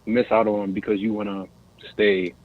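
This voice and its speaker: A man's voice: fairly low pitch, little pitch movement, moderate pace. An American man calling in to a casual discussion, speaking hesitantly and searching for his words over a phone-like connection. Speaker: caller. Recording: tinny phone-like line.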